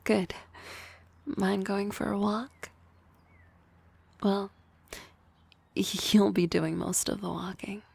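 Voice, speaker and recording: A woman voicing an energetic young female harpy classmate in an ASMR roleplay, talking softly and often in a whisper with a teasing manner. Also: medium pitch, very slow, creaky, long pauses, slightly rough, no room sound, heavily acted. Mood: playful.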